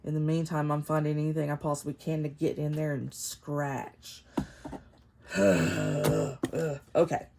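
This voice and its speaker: A woman's voice: low-pitched, animated, slow, creaky, darkish tone. Nasal, congested woman in her fifties. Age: 50s. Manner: rambling and chatty, with frequent laughs. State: congested from a cold. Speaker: planner vlogger. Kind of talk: casual chatty vlog. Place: home, seated in a chair. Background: faint neighbors' construction noise.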